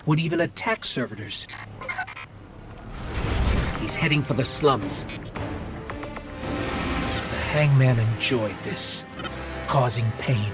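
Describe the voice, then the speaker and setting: A man playing an earnest, watchful robot companion in a video game, giving measured briefings and warnings. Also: medium pitch, close to an everyday voice.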